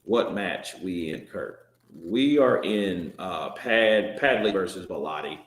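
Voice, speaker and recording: A man's voice: low voice, lively intonation, slow pace. A man hosting a live boxing stream, reading viewer comments and answering them in loose, joking talk that swears now and then and trails off into restarts. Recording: roomy echo.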